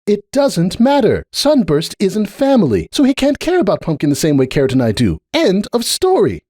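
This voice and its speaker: A defensive, indignant mother pony from a cartoon fan story, performed by a man. Her voice is lifted far above normal male pitch and moves animatedly, with a curt, stubborn, dismissive manner. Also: wildly swooping pitch, normal speed, warm tone, no echo, clean studio sound, exaggerated voice.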